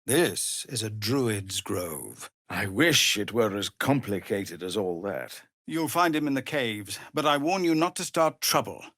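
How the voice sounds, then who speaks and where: Weary tiefling commander, honourable, formal and earnest, widely varied intonation, deliberate pace, dry close studio sound, dramatic game acting. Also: male voice, lowish voice, expressive, a bit bright, dry recording, full character voice. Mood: weary, firm.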